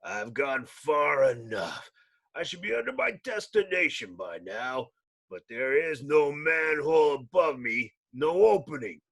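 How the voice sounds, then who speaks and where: Guy doing a big gruff monster hero from a comic, bitter, impatient, takes his time. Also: mid-range voice, very animated, measured pace, a bit bright, heavily acted.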